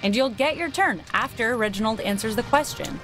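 A woman with an American accent playing a caustic, domineering teenage mean girl in a video game, sarcastic, deadpan and cutting. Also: a little high, fairly quick, music in the background, natural voice. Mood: taunting. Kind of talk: naturalistic video game acting.

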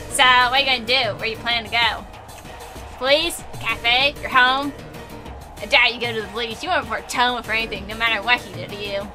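A woman's voice: on the high side, pushed and bright. A woman reading aloud the lines of a cryptic, teasing young man from a visual novel, in an offhand, amused way. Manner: casual read-aloud of game dialogue, amused and offhand.

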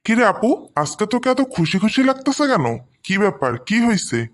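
Curious younger brother for animation, played by a man: light high male voice, Bangladeshi accent, relaxed manner. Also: a little fast. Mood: curious, agreeable. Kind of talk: animated moral story dialogue.

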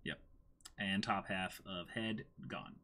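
A man's voice: low voice, slow pace, bright, forceful tone. A man in his twenties giving a casual, amazed running reaction as he reads a story aloud on a stream. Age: 20s. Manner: exclaims in amazement, acts out dialogue. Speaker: streamer reading a manga aloud. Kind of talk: casual reaction commentary with dramatic reading.

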